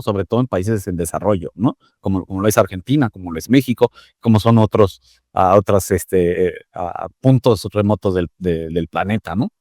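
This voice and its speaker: A man, a guest explaining telecom topics in a measured way, with many fillers and tag questions. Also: on the low side, a little mellow, dry recording, clean studio sound. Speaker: interview guest, telecom expert. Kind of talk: conversational interview.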